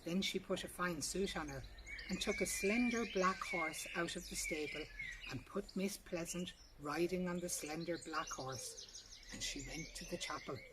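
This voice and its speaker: Clear background noise and birdsong surround an Irish woman telling a story. Her voice sits a little low. She reads a traditional folk tale aloud. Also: moderate pace. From Ireland.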